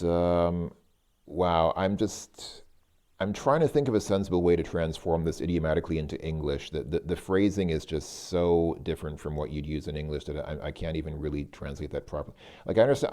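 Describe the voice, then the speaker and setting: Very deep-voiced man, halting, thinking aloud. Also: warm tone. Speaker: language learner presenting a Russian lesson. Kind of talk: informal lesson, translating and thinking aloud.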